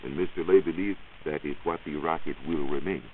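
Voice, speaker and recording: An American man giving a measured, explanatory science talk on 1940s radio as a reporter. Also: a bass voice, steady tone, narrow, tinny audio. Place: radio studio. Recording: hissy band-limited 1940s radio recording.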